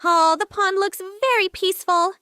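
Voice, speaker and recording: A woman's voice: extremely high pitch, normal speed, pushed and bright, dry recording. A woman voicing a cheerful, friendly character in a home-made storybook play, sweet and chatty.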